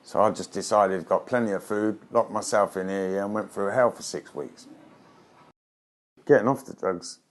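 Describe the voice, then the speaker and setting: A middle-aged man talking frankly in an interview, often adding "yeah?", recorded indoors in his flat. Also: fairly low pitch, moderate pace. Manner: frank, streetwise, frequent "yeah?" tags. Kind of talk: candid interview.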